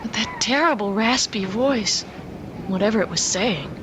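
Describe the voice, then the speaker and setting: An actress playing an anxious, dreamy young bride-to-be in a radio drama, earnest and a little uneasy. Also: female voice, a little high, sing-song, moderate pace. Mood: frightened, insistent. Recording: noisy old radio drama recording.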